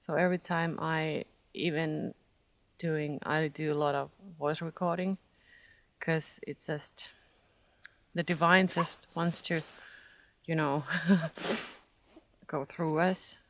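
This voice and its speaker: A Finnish-accented speaker, native in Finnish, gives a hesitant, reflective spiritual vlog, often searching for words and laughing softly. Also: medium pitch, level tone, takes it slowly, pauses often. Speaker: spiritual vlogger. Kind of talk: casual reflective monologue.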